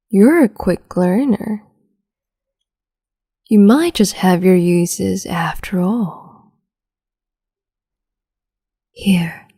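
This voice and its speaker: A woman voicing a cruel, capricious empress in an ASMR roleplay, whispering softly and condescendingly with sweet menace, close to the mic. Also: medium pitch, heavily acted. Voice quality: breathy whisper.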